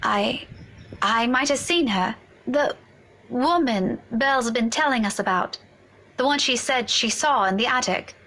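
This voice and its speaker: A woman's voice: fairly high pitch, expressive, unhurried, fairly bright tone, rough recording. An actress playing a level-headed young woman in a game, firm and earnest, defending herself. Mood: hesitant.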